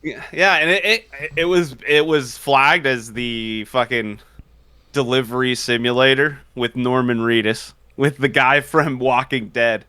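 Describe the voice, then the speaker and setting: A man co-hosting a casual gaming podcast, excitable and animated and quick to laugh, speaking into a studio mic. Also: mid-range voice, clear, resonant tone, dry recording. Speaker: podcast co-host.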